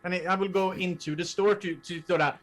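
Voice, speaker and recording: High-voiced man playing a tabletop game. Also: fairly even intonation, a little fast, a little hiss or hum. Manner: hesitant, chatty. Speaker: player. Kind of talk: casual chat.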